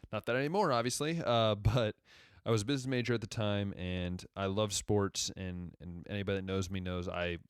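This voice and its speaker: A young man from Ohio in his twenties with a deep radio-style voice, hosting a casual solo podcast and rambling conversationally as he backtracks through his story. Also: steady tone, moderate pace, clear, resonant tone, no room sound, clean studio sound. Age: 20s. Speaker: podcast host.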